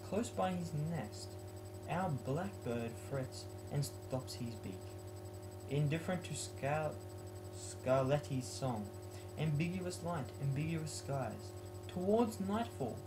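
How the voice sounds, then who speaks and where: A man's voice: on the high side, poor audio. A young man talks in a casual, homemade student style, as if at his desk in a bedroom. His voice sits in an ordinary male register and moves between loose chat and reading aloud. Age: late teens to young adult. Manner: self-mocking, jokey. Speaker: student vlogger. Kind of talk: casual talk and poem reading. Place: small bedroom, indoors.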